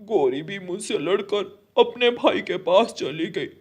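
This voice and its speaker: High-pitched, self-pitying male crow, played by a man. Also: lively intonation, a little slow. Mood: mournful, self-pitying. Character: scheming male crow feigning injury and self-pity. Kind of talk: Hindi moral-story cartoon voice acting.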